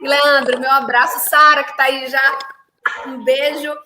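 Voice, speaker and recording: A woman's voice: high voice, little pitch movement, unhurried, echoey room, noisy background. A woman from Salvador with a Bahian accent, a city councillor joining a political stream, speaking emphatically and in a rush. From Brazil, Salvador. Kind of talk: political live-stream discussion.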